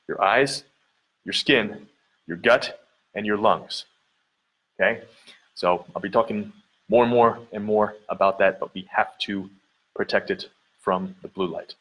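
Man in his thirties, gym owner, somewhat low voice, earnest and repetitive, filler-heavy, handheld camera mic in a big indoor gym. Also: fairly even intonation, moderate pace, a bit bright, poor audio. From Central Ohio, US. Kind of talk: casual walkthrough vlog. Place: large dim indoor gym studio. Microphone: handheld camera mic at arm's length.

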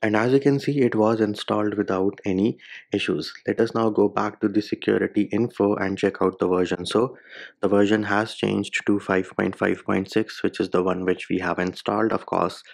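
A man's voice: fairly low pitch, steady tone, moderate pace, creaky. A man hosting a tech tutorial, talking through a phone demo step by step in an informal, slangy way. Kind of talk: casual how-to narration over a phone demo.

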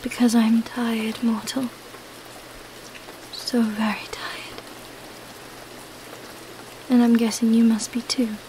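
Woman acting a cunning vampire, high-voiced and unhurried. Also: flat delivery, warm tone, a little hiss or hum, poor audio. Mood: hypnotic, coaxing. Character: cunning female vampire feigning weakness, soothing and hypnotic. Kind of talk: ASMR roleplay.

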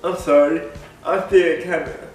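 A young man vlogging casually to camera from his living room, congested, halting and laughing often. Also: fairly high pitch, fairly even intonation, a little mellow, faint music behind. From United States. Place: living room with big windows. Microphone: camera across the room. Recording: echoey room, voice from far off.

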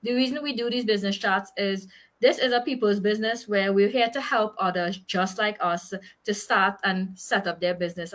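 A woman hosts a live video-call chat from a small room, speaking into a headset mic. Her voice is bright and forceful, and she keeps an upbeat, welcoming tone. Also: medium pitch. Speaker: host, business mentor.

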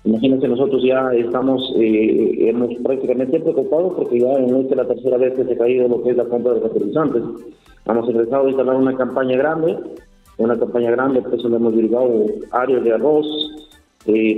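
Man on an echoey phone line. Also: mid-range voice. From Peru. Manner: hesitant, many fillers and restarts. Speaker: rice farmer. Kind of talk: phone interview.

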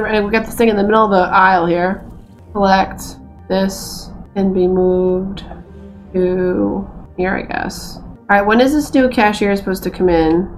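Chatty Boston woman streaming a game. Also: lowish voice. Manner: chatty, exasperated, talks to herself while playing. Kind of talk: casual gameplay commentary. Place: small room indoors. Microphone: headset.

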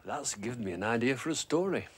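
A man's voice: medium pitch, expressive, quick pace, background noise. A middle-aged man, presenting a children's show in a chatty way.

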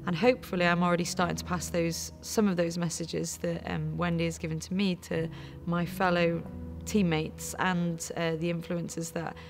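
Woman in her 30s talking thoughtfully in an interview, lots of ums, low steady voice. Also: over music. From Wales. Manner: thoughtful, halting, many fillers. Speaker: netball captain. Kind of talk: reflective interview. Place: studio before a backdrop.

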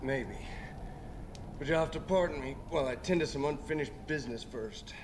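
A man's voice: a little high, moderate pace, background noise, natural voice. An actor playing a wounded, stubborn young gunman in a video game cutscene, weary and defiant. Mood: weary defiance. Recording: game audio with music behind.

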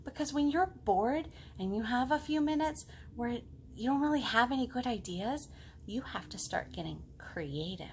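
A woman in her thirties talking to young children as a storytime librarian, expressive, with simple questions and pauses. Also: on the high side, a little hiss or hum. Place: home living room.

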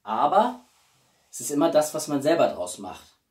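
A man's voice: on the high side, big pitch swings, moderate pace, a little mellow. Roomy, distant-miked man chatting. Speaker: YouTube host. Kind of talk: casual chat to camera. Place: small living room at home, indoors. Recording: roomy echo, distant microphone.

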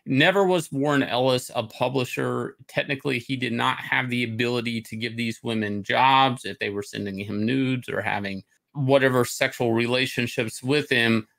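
A man's voice: medium pitch, moderate pace, gravelly, a bit bright. A middle-aged man hosting a talk show, giving opinionated, casual commentary in a rambling, conversational way. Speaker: host of a comics talk show. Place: indoor room, on a video call.

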